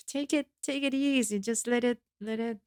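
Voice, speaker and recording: A woman guest singer on a podcast, speaking in a reflective, halting way with many restarts. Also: fairly high pitch, steady tone, no room sound, clean studio sound. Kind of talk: podcast conversation.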